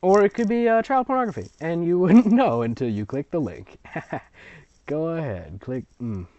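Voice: medium pitch, animated, moderate pace, dark tone. A sarcastic, rambling reader giving mocking commentary while reading fan fiction aloud, on dull lo-fi audio. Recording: dull lo-fi audio, close and dry.

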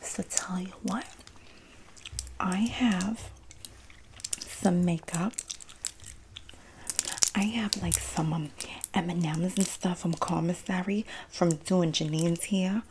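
A young woman acting a sassy, streetwise female inmate who comforts her bunkmate in a breathy whispered ASMR roleplay. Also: fairly low pitch, steady tone. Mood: confiding. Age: young adult. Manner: sassy, streetwise slang, drawn-out terms of endearment. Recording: close mic with clear background noise.

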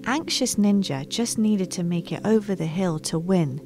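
A woman in her thirties reading a picture book aloud as a storytime host, with expressive narration, close to the microphone. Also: on the low side, moderate pace, over music. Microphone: close microphone on a boom arm.

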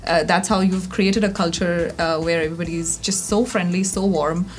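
A woman's voice: lowish voice, fairly even intonation, moderate pace. A woman in her thirties with an Indian accent, hosting an interview in a conversational style. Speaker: interviewer, content creator. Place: indoor studio-style office set.

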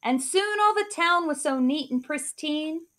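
A woman in her forties, a teacher, reading a rhyming picture book aloud in a sing-song, expressive way at home into a webcam. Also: sits high, unhurried. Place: home living room.

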